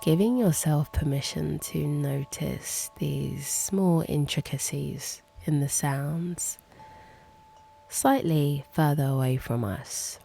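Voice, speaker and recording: A woman guiding a meditation in a hushed, soft manner, with breathy phrase endings and long pauses. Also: low-pitched, soft background music. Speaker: host and meditation guide. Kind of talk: guided meditation, slow and hushed.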